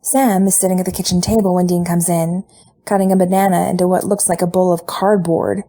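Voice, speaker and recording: A woman reading a fan fiction story aloud, with relaxed storytelling and lightly voiced dialogue. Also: lowish voice, brisk. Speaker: podfic reader.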